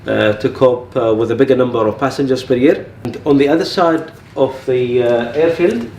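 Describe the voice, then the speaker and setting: A man giving an explanatory facility tour as head of air traffic control, in a halting delivery. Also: medium pitch, darkish tone. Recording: echoey, distant mic, faint background noise.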